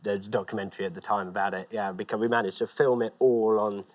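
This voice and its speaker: A man from Romania who grew up in England, chatting as a quiz contestant, friendly and a bit halting. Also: fairly low pitch, steady tone. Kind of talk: casual chat.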